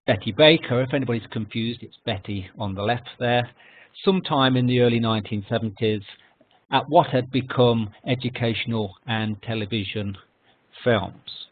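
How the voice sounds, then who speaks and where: Male academic presenter, medium pitch, formal scripted lecture read, thin phone-band sound. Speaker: editorial consultant giving a lecture. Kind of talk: scripted history lecture with slides. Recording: narrow phone-like online call audio.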